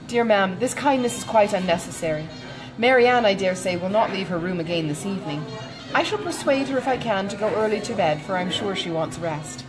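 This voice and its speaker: Casting: female reader as composed young gentlewoman for a storybook, protective and sensible, formal measured phrasing, music underneath. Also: mid-range voice, animated, lightly acted, poor audio. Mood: politely firm.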